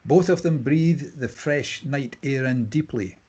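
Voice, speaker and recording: A man's voice: mid-range voice, a little slow, darkish tone, breathy edge, highs cut off. A man reading scripted stage directions as narrator at a table read.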